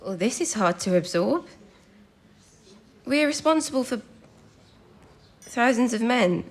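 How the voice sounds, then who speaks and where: A woman's voice: a little high, expressive, slow, heavily acted. An actress playing an earnest young British woman working as a wartime codebreaker in an audio drama. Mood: sobered. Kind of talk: period audio drama acting.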